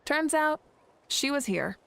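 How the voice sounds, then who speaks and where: A woman playing a composed, confident female leader in a video game, speaking in a measured, self-assured and gently teasing way. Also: high voice, very animated, gravelly, dry recording, exaggerated voice. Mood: matter-of-fact. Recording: soft game music behind the voice.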